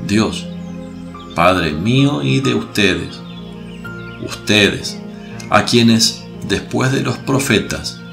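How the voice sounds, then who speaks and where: An actor playing a solemn, compassionate preacher, speaking in a measured, earnest way in a radio drama. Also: male voice, on the low side, vocal fry, full character voice.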